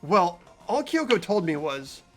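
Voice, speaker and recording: High, anxious teenage schoolboy, acted by a man. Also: very animated, measured pace, fairly bright tone, soft background music, exaggerated voice. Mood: earnest, hesitant. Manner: hesitant, earnest, questioning. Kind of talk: fan-voiced visual novel dialogue.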